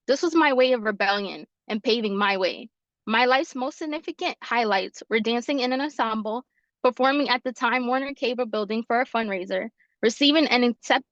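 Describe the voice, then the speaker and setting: A woman's voice: on the high side, a bit bright, no room sound. Young woman reading her story, lo-fi call. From New York. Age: 20s. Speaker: fellow presenting her story. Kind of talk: reading a prepared personal story. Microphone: video call.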